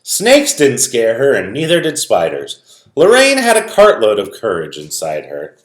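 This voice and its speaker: A man's voice: mid-range voice, huge pitch swings, a little slow. A man speaking as a music teacher, informally explaining things to young students in a video lesson and read-aloud. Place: indoor room at home. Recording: roomy indoor echo.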